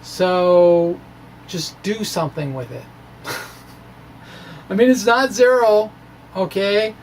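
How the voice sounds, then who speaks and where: A middle-aged American man giving an informal, halting explanation to camera, with animated, self-deprecating asides. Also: high voice, a little hiss or hum, low-quality recording. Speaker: physics video blogger. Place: small indoor room with bookshelves. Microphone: microphone on stand in front of chest.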